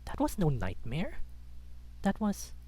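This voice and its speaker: A man's voice: sits high, huge pitch swings, slow, dark tone, pitched above the performer's own voice, heavily acted. A man voicing an anxious, stubborn young male hero who sounds agitated and insistent.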